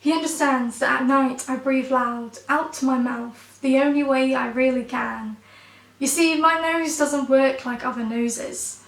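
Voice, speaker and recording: A young woman performs spoken word poetry in a high, croaky voice that sounds stuffed up, as if her nose is blocked. Her delivery is wry and self-mocking, landing each line with punch. She sits back from the mic in a roomy, echoing space. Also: fairly even intonation, unhurried, far from the mic. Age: 20s.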